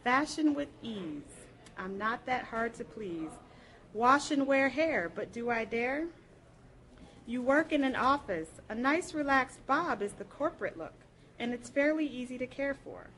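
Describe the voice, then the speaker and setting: A woman reads a first-person piece aloud at a live event. Her voice sits fairly high and her delivery is expressive and theatrical. There is faint noise in the recording. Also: measured pace. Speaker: reader at a library program. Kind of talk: dramatic first-person literary reading.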